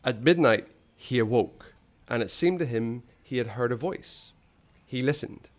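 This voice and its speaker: Animated man reading a storybook aloud. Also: medium pitch, a little slow. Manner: theatrical, suspenseful, with hushed phrase endings. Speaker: storybook reader. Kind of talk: dramatic read-aloud narration of a children's adventure story.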